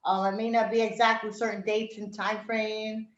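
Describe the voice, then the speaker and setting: A woman's voice: medium pitch, little pitch movement, normal speed. Reflective New woman, roomy lo-fi sound. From Puerto Rico, raised in Brooklyn, New York. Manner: reflective, many fillers, laughs often. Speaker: interview guest. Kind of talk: casual reminiscing interview.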